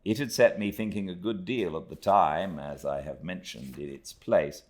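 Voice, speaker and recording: A British man narrating a classic novel in a measured, expressive storytelling manner. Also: low-pitched. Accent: British English. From Britain. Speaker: audiobook narrator.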